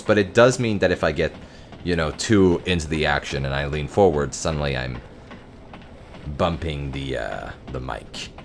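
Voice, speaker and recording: Very deep-voiced man, self-mocking streamer. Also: a little hiss or hum. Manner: self-deprecating, wry, muttering curses. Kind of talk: casual gameplay commentary. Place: home office. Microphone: close desk mic.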